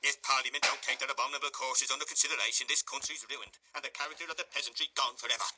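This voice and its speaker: A man's voice: medium pitch, steady tone, takes it slowly, background noise, pitched above the performer's own voice, lightly acted. Man doing a pompous, blustering beadle. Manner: pompous, loud and scolding. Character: pompous parish beadle, middle-aged man, indignant.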